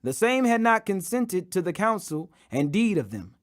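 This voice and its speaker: A man narrates a scripture audiobook in a strikingly high-pitched voice. His delivery is solemn and declamatory, shaped as a dramatic reading. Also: big pitch swings, moderate pace. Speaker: scripture reader.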